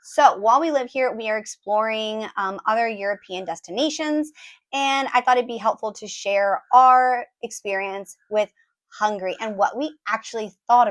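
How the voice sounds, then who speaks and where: A woman in her thirties, hoarse as her voice recovers, chatting casually through a family travel recap with lots of ums and likes. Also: on the high side. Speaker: travel vlogger, mother of four.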